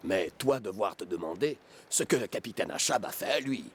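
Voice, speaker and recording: Man as a lively-pitched, wise cartoon harpooner. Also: background noise, lightly acted. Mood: calm, wise. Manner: broken foreigner's grammar, measured. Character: calm, wise native harpooner, chief's son. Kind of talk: children's cartoon dub acting. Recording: music under the voice.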